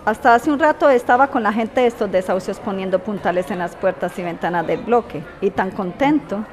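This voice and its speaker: A woman's voice: mid-range voice, brisk, lightly acted. A woman playing a caring, supportive mother and neighbour in conversational audio drama. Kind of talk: scripted amateur radio drama.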